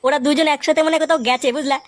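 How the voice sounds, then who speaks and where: An eager cartoon sidekick put on by a woman. The voice is extremely high and squeaky and races through every line. It sounds like a young follower deferring to the leader. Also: steady tone, rapid-fire, natural voice. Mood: eager, anxious. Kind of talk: comic cartoon dubbing.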